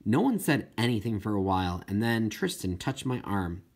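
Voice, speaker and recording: A man reading a first-person children's novel as an expressive audiobook narrator. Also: fairly low pitch, normal speed. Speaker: audiobook reader.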